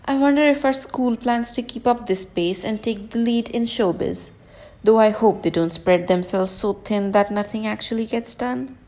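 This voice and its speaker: Creaky, wry teenage schoolboy voiced by a woman. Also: fairly high pitch, normal speed, darkish tone, lightly acted. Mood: wry, musing. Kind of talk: scripted anime game fan dub acting.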